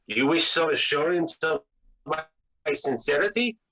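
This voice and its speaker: Man playing a husky, halting, courteous schemer. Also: on the high side, lively intonation, sharp tone, heavily acted, rough recording. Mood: nervous, courteous. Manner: halting, stammering, formal and courteous. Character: polite, nervous, insistent male foreign schemer. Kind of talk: 1940s radio drama read-through. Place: video call read-through.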